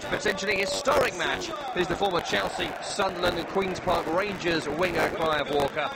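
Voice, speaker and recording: A male sports radio presenter with a high voice. He hosts live football coverage, setting up the match for listeners. Loud stadium ambience and noise sit behind him throughout. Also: gravelly. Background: loud outdoor stadium ambience.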